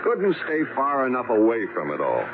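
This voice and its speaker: A man's voice: mid-range voice, huge pitch swings, normal speed. An actor playing a folksy, knowing male police sergeant in a 1950s radio detective drama. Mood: sardonic, knowing. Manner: colloquial, knowing, sardonic. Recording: noisy, muffled vintage radio recording.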